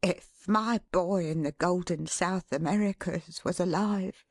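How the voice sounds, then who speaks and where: A woman's voice: lowish voice, a little slow, darkish tone, no room sound, clean studio sound. A woman voicing a humble, tender-hearted father in a storybook reading, with an emphatic, emotional delivery. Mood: trembling hope. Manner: exultant, trembling, emphatic. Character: humble, tender-hearted father, overjoyed at his son's return. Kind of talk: dramatised classic storybook reading.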